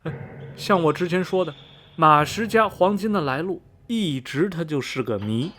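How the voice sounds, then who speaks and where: A man acting in a radio drama as a chatty, superstitious small-town local, telling rumours in a folksy, animated way full of interjections. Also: a little high, moderate pace. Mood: gossipy. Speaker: actor in an amateur student radio drama.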